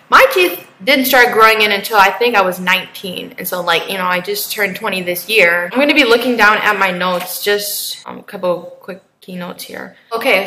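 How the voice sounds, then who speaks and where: A young woman in her twenties chats to her camera and rambles through a personal story, full of fillers and asides. Her voice is bright and forward, and the room gives it a noticeable echo. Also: mid-range voice. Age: 20s. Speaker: vlogger. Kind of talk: casual talk to camera.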